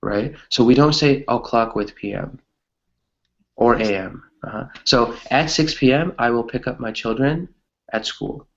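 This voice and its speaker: A man's voice: medium pitch, unhurried, lo-fi sound. A man teaching an English grammar lesson conversationally, encouraging his students and often checking in with "right?".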